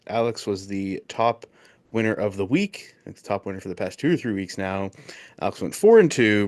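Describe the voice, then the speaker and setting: Man, low voice, podcast co-host, jokey, rattles off betting picks. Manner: jokey, recaps bets in quick bursts. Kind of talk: casual sports betting podcast chat.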